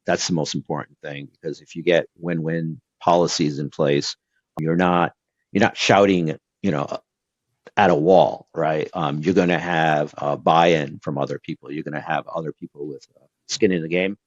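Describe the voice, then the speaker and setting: A man's voice: extremely low pitch, fairly even intonation, moderate pace, dry recording, dull audio. A man from Brazil whose first language is Portuguese, giving earnest advice as an interview guest with lots of fillers and restarts. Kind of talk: casual interview talk.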